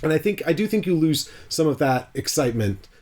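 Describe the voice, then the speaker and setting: A man's voice: on the high side, big pitch swings, brisk, a little mellow. A man with a sore throat, hosting a stream and chatting casually about board games, with lots of ums and restarts. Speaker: stream host. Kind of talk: casual chat.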